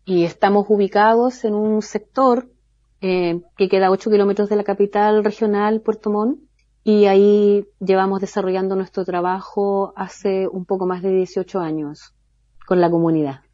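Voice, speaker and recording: A woman with a Chilean accent, a guest speaking slowly and thoughtfully with many pauses and fillers. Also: mid-range voice, fairly even intonation, mellow, no room sound, highs cut off. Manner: slow, thoughtful, many hesitations. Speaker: guest from a community cultural center. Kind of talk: remote interview talk.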